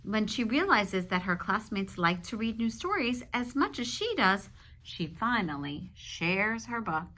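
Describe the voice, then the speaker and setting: A woman teacher reading a children's book aloud, pausing to explain simply and check in with her young listeners. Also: medium pitch, big pitch swings, a bit bright, low-quality recording. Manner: instructive, addressing young children with check-in questions.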